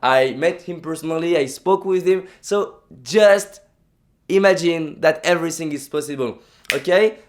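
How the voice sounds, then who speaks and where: French-accented man, fairly high voice. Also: normal speed. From France. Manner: emphatic, repetitive phrasing with frequent restarts. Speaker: motivational speaker. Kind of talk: motivational vlog monologue.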